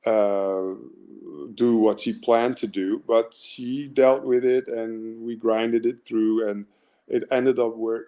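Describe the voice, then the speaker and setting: A man's voice: on the low side, vocal fry, warm tone. A man in his forties with an American accent, giving an earnest, rambling motivational talk as a mental performance coach, full of fillers and restarts.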